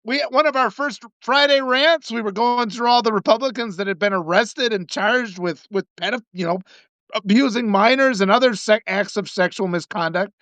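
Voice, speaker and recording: A man from Wisconsin hosting a casual political talk, animated and swearing, acting out the people he quotes. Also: sits high, moderate pace, no room sound. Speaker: host. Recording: muffled lo-fi sound.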